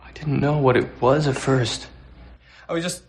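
In a film scene, an actor plays a nervous young man who rushes out excuses. His voice sits at an ordinary middle pitch. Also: slight background noise, lightly acted. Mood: defensive. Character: young man, defensive and apologetic. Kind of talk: film dialogue.

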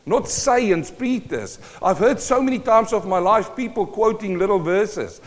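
A man's voice: high-pitched, animated, normal speed. A South African-born man preaching a sermon, emphatic and full of rhetorical questions to the congregation. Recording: long hall reverb.

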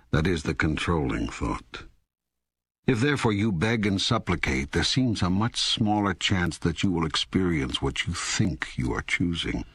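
An actor reading God in an audiobook dialogue as a calm, authoritative teacher, with measured, solemn delivery and deliberate emphasis. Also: male voice, extremely low pitch, breathy edge, no echo, studio quality, exaggerated voice. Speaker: audiobook co-narrator.

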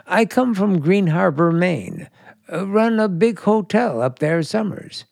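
A folksy, forward hotelkeeper in a radio drama. He talks slowly in a creaky, very lively voice, casual and flirtatious. The sound is close and dry. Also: sits high, no echo, heavily acted. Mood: chatty, probing. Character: folksy, forward middle-aged hotelkeeper, a flirtatious male stranger. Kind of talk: radio play acting.

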